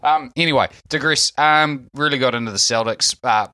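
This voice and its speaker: A man's voice: mid-range voice, normal speed, pushed and bright, no echo, studio quality. A man with a New Zealand accent telling his story in a casual podcast chat, hesitant and full of fillers. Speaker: podcast guest.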